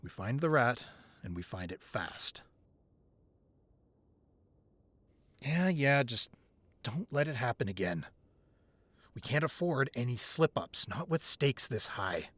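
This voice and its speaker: A man's voice: mid-range voice, sing-song, a little slow, fairly bright tone, dry recording. A man playing an affectionate boyfriend who secretly runs a crime ring, in an intimate, conversational ASMR roleplay. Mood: cold, stern. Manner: conversational, playful with partner, curt and commanding in business.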